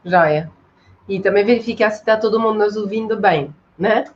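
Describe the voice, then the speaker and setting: A woman from France with a French accent in Portuguese, telling stories informally as a guest in a relaxed online chat. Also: medium pitch, normal speed. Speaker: interview guest. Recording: noisy, dull online call audio.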